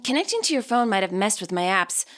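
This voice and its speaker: A woman's voice: medium pitch, big pitch swings, quick pace, a bit bright. A woman voicing a guarded, practical teenage girl in an audiobook story, matter-of-fact and wry. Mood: dry, practical.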